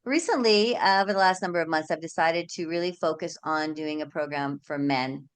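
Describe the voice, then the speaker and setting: Low-voiced, earnest middle-aged woman. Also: a little fast, smooth. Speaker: host, sexuality coach. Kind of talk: casual interview chat.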